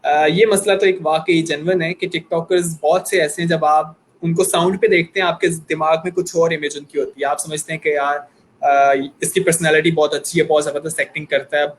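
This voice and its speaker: Pakistani guy on a video call giving examples, higher voice, faint hiss behind. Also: fairly even intonation, a little fast. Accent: Pakistani Urdu. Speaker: guest, YouTuber and anchor. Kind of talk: online discussion.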